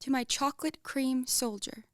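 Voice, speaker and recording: A woman's voice: sits high, fairly even intonation, normal speed, no room sound, studio quality, lightly acted. A woman playing a proud, easily offended young lady of twenty-three in a radio comedy, precise and theatrical. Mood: softened. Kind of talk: stage-comedy radio play acting.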